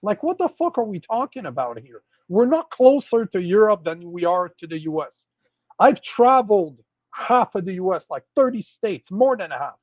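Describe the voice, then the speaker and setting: A Canadian man with a French accent, talking politics in a blunt, scornful way and swearing freely. His voice sits high for a man, and the sound is dull and very dry, as if recorded close in a small dead room. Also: big pitch swings, moderate pace, no echo. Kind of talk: casual political chat.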